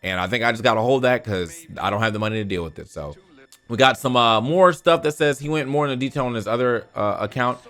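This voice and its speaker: A man's voice: medium pitch, fast, a bit bright. A man hosting a gaming news show, commenting casually and reading posts aloud in an informal, slangy way with free swearing.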